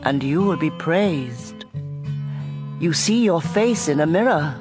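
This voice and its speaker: Lowish-voiced woman reading scripture over guitar. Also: expressive, takes it slowly. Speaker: audio Bible reader. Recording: guitar music bed. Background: plucked guitar music.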